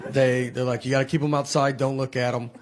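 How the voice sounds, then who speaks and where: A man in his forties doing deadpan stand-up comedy, telling slow stories with acted-out dialogue on stage. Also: mid-range voice, heavy background noise. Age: 40s. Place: stage before a live audience. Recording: loud audience laughter behind.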